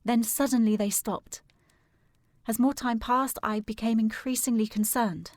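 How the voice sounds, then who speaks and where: Actress as earnest young gentlewoman, close dry sound. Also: fairly high pitch, steady tone, a little slow, dry recording, natural voice. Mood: troubled, insistent. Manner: formal, precise, insistent. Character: earnest, determined young gentlewoman worried for missing sister. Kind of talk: period audio drama acting.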